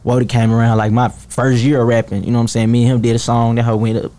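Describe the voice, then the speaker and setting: A man in his early twenties talks in a laid-back, rambling way on a podcast studio mic. His tone is mellow and dark. He keeps asking "you know what I'm saying". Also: mid-range voice, level tone, fast. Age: 20s. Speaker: rapper guest. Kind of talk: casual interview talk. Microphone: studio mic, headphones.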